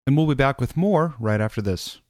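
A man hosting a radio interview show, reading smooth, scripted introductions. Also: mid-range voice, animated, fairly quick, background noise.

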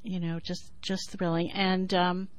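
A woman in her sixties with a New York accent who talks thoughtfully with many pauses and then reads her poem in a measured rhythm. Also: fairly low pitch, flat delivery. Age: 60s. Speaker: poet and writer. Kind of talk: interview and poetry reading.

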